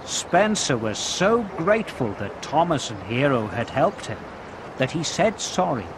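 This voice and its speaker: Animated male children's storyteller, unhurried pace. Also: mid-range voice, noisy background. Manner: expressive, simple storytelling addressed to small children. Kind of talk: scripted storybook narration with interactive prompts for young children.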